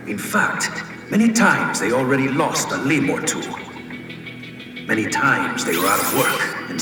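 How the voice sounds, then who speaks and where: A cynical mercenary villain from a video game, taunting slowly with a swinging, theatrical pitch. His voice sits in a roomy, reverberant space under loud music. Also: far from the mic. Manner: mocking, drawn-out theatrical delivery. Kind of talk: video game cutscene acting.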